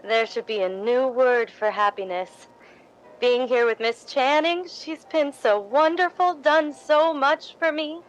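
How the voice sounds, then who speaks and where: A woman's voice: high voice, unhurried, highs cut off. A woman playing a meek, grateful, ingratiating young theatre hopeful in 1950s radio drama style, hesitant and earnest. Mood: humble, eager.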